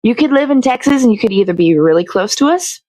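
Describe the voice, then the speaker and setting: A woman's voice: on the high side, lively intonation, fast, mellow, no echo. A woman hosting a morning show in casual chat, talking in a blunt, sweary, rambling way.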